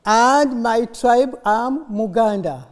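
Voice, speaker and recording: A mature Ugandan woman with a Ugandan accent and Luganda as her first language, giving an informal conference speech as an animated storyteller who prompts her listeners with questions. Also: fairly high pitch, takes it slowly. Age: middle-aged or older. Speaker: conference speaker. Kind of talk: informal conference speech with audience call-and-response. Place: conference hall, live talk to an audience. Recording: echoey hall, long reverb.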